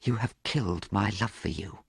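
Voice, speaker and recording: A man voicing a proud young gentleman in a storybook reading, speaking coldly and bitterly. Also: mid-range voice, big pitch swings, normal speed, breathy edge, no room sound, studio quality, pitched above the performer's own voice.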